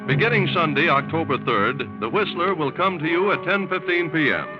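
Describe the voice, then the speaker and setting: A man's voice: fairly high pitch, animated, brisk. A man reading credits and network announcements in a formal, polished 1940s radio style. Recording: dull vintage radio recording with music.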